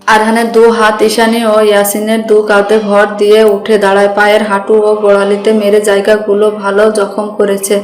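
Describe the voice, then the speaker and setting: A woman's voice: medium pitch, monotone, normal speed, hardly any pauses. A woman narrating a dramatic scripted story. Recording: roomy echo with music bed.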